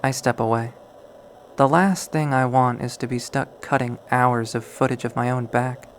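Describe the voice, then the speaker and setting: A man reading a visual novel aloud as dramatic first-person narration, in a measured way. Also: mid-range voice, steady tone. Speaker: game player reading aloud. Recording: faint background noise.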